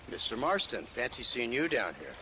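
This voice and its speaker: A man's voice: medium pitch, big pitch swings, moderate pace, bright, forceful tone, a little hiss or hum, poor audio. A man playing a mocking government agent in a Western video game, with sardonic, mock-polite delivery. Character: mocking male government agent of the Old West. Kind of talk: dramatic video game acting.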